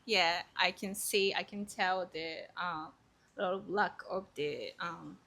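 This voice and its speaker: A woman from Japan with a Japanese accent, answering interview questions casually and haltingly as she searches for words in her second language. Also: fairly low pitch, fairly even intonation, gravelly, sharp tone. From Kobe, Japan. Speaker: interview guest.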